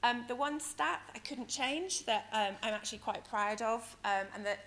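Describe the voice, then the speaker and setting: A woman with a croaky, hoarse voice giving a conference presentation as a company director, explaining things in a hesitant, wordy way. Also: fairly high pitch, normal speed, fairly bright tone. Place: conference venue in London, speaking to an audience. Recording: noisy conference hall ambience.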